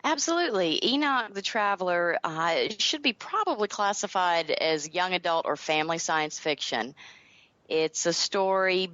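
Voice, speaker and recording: Female author guest, Southern US accent, polite and friendly, somewhat low pitch, dry recording. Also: animated, normal speed, a bit bright, no echo, dull audio. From Southern United States. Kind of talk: friendly interview talk.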